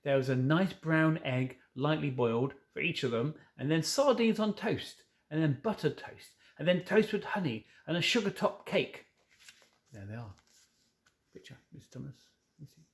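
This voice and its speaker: A man's voice: a little high, expressive, normal speed. A man reading a children's novel aloud, stumbling now and then and correcting himself, with informal asides. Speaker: storybook reader.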